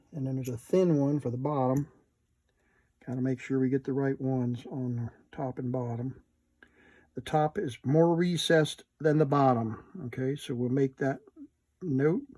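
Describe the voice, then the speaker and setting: A man gives a casual, hands-on repair demonstration for his video channel. His delivery is very slow, as if murmuring along to himself while he works. The voice is soft-edged and mellow, a little husky, with very little room sound. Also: medium pitch, no room sound.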